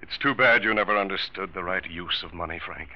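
An actor playing a calm, grave older uncle who gently reproves, in a 1940s radio drama. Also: male voice, low voice, a little fast, natural voice. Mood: sadly reproving. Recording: muffled old radio recording.

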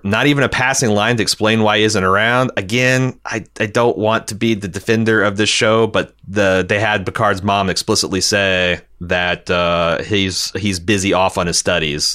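A man's voice: low-pitched, pushed and bright. A man hosting a podcast, casually recapping television and reading out listener feedback in an opinionated, exasperated way with some swearing. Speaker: podcast host.

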